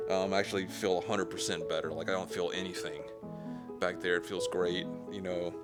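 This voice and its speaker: A man speaking candidly and plainly to camera about himself, in a halting, conversational way. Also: low voice. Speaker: documentary subject, surgery patient. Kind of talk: candid personal talk to camera. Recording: loud music behind the voice.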